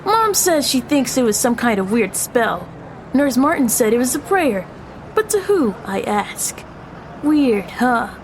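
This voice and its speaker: Nervous, earnest seventh-grade boy played by a woman, very high voice, audio drama with clear traffic noise behind. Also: sing-song, unhurried. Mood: gossipy, spooked. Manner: earnest, hesitant. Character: anxious middle school boy confiding in his best friend. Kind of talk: family audio drama acting.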